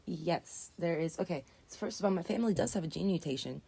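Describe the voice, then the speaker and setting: A woman in her twenties, raspy and just woken up, rambling earnestly and correcting herself as she hosts a casual live chat. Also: fairly low pitch, fast talker, dark tone, a little hiss or hum. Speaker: livestream host.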